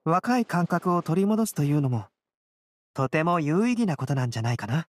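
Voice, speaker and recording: A woman voicing a serene young male intellectual in an anime game, calm, reflective and polite. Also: low voice, fairly quick, no room sound. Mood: calm, curious. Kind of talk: Japanese anime game voice acting.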